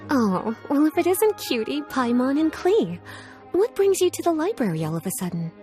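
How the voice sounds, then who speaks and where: A woman's voice: high voice, very animated, normal speed, dark tone, soft background music. A woman voicing an elegant, teasing, doting librarian in a video game, with genteel and composed phrasing. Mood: teasing, welcoming. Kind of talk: video game character acting.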